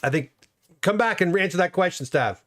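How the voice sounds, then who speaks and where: A man's voice: high-pitched, expressive, brisk, a bit bright. A middle-aged man hosting a casual livestream chat, reading viewer comments aloud and reacting to them. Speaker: host.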